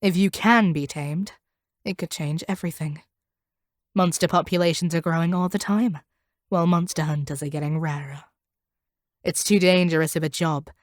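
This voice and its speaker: Woman acting as a haughty, low-voiced female monster hunter. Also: no echo, studio quality, close to an everyday voice. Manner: haughty, stern, deliberate. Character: proud, ruthless female monster hunter, cold and commanding. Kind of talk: scripted audio roleplay acting.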